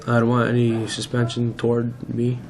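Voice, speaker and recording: A high school boy playing an aggrieved student in an amateur dramatization, recorded in a noisy room. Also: monotone, normal speed, creaky, music in the background, close to an everyday voice. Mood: resigned, conciliatory. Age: teenager, high school student. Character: aggrieved teenage boy in a fight dispute. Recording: noisy room with background hiss.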